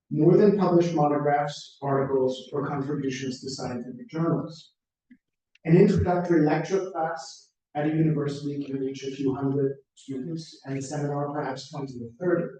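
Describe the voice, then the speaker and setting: A middle-aged American man delivers a prepared plenary talk in a measured, orderly way, often building lists. His voice is mellow and slightly high for a man. He sits back from the microphone in a very echoey room. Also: far from the mic. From United States. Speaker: plenary speaker.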